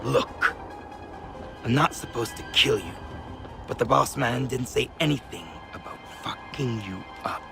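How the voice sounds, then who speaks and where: A man's voice: mid-range voice, unhurried, vocal fry. An actor playing a cocky, threatening male agent in a game cutscene. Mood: taunting. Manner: taunting, threatening. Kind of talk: video game cutscene acting. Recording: loud game music behind voice.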